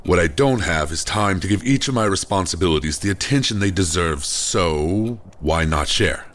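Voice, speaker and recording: An actor playing a hearty, authoritative royal advisor in a fantasy audio drama, speaking in a commanding, formal manner. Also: male voice, deep voice, lively intonation, normal speed, a bit bright, slight background noise. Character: hearty, authoritative king's right-hand nobleman, old friend.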